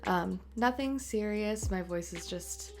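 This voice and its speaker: A woman in her late twenties, an artist and tattooer, chatting in a voice-over over a speedpaint, raspy and congested from a cold, talking softly with many ums. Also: mid-range voice, slow pace. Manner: soft, rambling, many ums and uhs. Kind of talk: voice-over chat during a speedpaint. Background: faint music.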